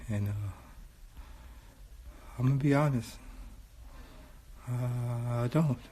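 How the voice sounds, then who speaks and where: Hoarse, slow-talking man from Watts. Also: medium pitch. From Watts, California. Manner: slow and deliberate, repeats lines for emphasis, grows louder. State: hoarse, losing his voice. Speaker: host. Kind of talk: casual monologue to viewers. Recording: faint background noise.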